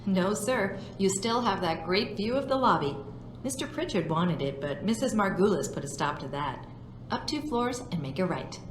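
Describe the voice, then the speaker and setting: A woman's voice: medium pitch, animated, moderate pace, noisy background, close to an everyday voice. Woman acting a lilting, courteous receptionist. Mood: helpful, wry. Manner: courteous, helpful, slightly wry. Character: polite, chatty, wry female office receptionist. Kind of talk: video game cutscene acting. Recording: echoey lobby sound, clear background ambience.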